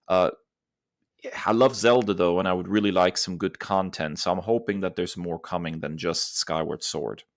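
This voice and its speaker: A man's voice: low-pitched, steady tone, moderate pace, no echo, clean studio sound. A man co-hosting a podcast, talking casually and emphatically as he gives his opinions. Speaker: podcast co-host.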